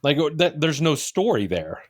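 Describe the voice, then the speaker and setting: A man's voice: a little high, very animated, normal speed. Casual man co-hosting a podcast. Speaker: podcast co-host.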